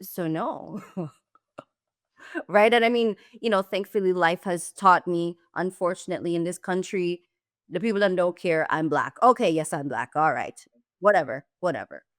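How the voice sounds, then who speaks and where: A woman hosting a podcast, first generation in the US with Jamaican and Guyanese roots, talking in an animated, emphatic way. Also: lowish voice, normal speed, no echo, clean studio sound. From Jamaican and Guyanese heritage, first generation in the US. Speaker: podcast host. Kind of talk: conversational interview.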